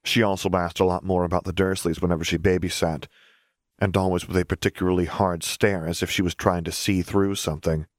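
Very low-voiced male storyteller. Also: little pitch movement, a little fast, no echo, studio quality. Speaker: audiobook narrator. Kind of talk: scripted story narration.

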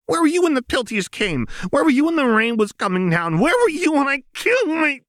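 A man's voice: very high voice, sing-song, a little fast, fairly bright tone, no room sound, clean studio sound, voice pushed up, heavily acted. A man voicing a volatile, wounded young woman, a manic gunslinger, with an erratic, spitting delivery in a narrated story. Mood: furious, anguished.

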